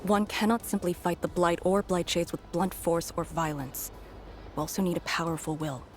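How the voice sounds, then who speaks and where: A woman voicing a grave, resolute veteran leader in a video game, speaking in a measured, solemn way. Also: lowish voice, fairly even intonation, faint music behind, close to an everyday voice. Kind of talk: scripted video game dialogue.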